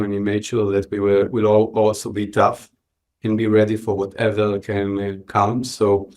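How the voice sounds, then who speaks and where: A man with an Israeli accent answering interview questions reflectively, often pausing mid-sentence. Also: lowish voice, a little mellow. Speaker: podcast guest, entrepreneur.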